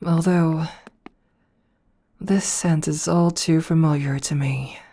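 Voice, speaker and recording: A woman voicing a haughty, seductive noble vampire princess in an ASMR roleplay, teasing and condescending with a hushed, breathy delivery. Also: low voice, steady tone, slow pace, full character voice. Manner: teasing, condescending, softly purring.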